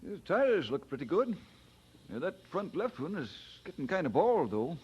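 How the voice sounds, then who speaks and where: An actor playing an earnest, good-humoured young preacher in an audio drama. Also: male voice, a little high, very animated, normal speed, a little mellow, husky, lo-fi sound, exaggerated voice. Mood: keen, bargaining.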